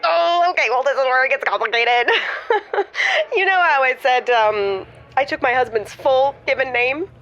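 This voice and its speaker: Woman playing a high-pitched giddy confessional imposter doctor. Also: a bit bright, muffled recording. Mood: sheepish, evasive. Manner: halting confessions swelling into gushing, theatrical declarations. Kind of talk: improvised comedy audio drama, radio call-in.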